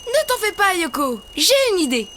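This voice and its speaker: A woman's voice: very high voice, sing-song, moderate pace, heavy background noise. A woman voicing a confident, helpful young boy hero in a children's cartoon, reassuring and instructive.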